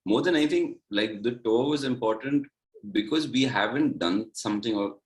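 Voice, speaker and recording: A man's voice: mid-range voice, moderate pace, rough recording. A young man from India, a musician guest in a casual interview, rambling with lots of "like" and "you know". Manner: relaxed, rambling, heavy use of "like, you know".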